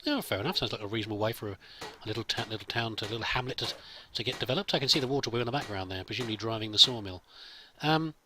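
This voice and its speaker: A man's voice: on the low side, rapid-fire, few pauses, background noise. A man hosting a let's play with casual role-played commentary, chatty and musing to himself.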